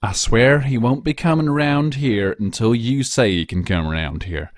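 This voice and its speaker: A man's voice: medium pitch, normal speed, dry recording, clean studio sound, pitched above the performer's own voice, natural voice. A man voicing a loyal, plain-spoken female farm pony in a storybook reading, earnest and folksy. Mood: earnest promise.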